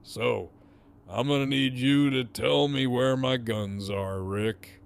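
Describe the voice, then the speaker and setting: Guy doing a smug threatening gang boss, really drawn out, gravelly, taunts everyone, audio drama villain. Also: mid-range voice, steady tone. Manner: taunting, theatrical, drawn-out delivery. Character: menacing, mocking, foul-mouthed male gang leader.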